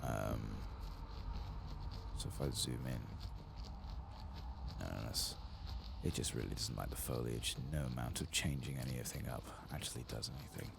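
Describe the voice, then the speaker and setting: A man with an extremely low, crackling voice gives soft-spoken gaming commentary. He rambles along unhurriedly and quietly, close to the mic. There is clear noise on the recording. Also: fairly even intonation. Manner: hushed, unhurried, rambling, sweary. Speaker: game streamer playing a fantasy RPG. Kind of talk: soft-spoken ASMR gameplay commentary with whispering.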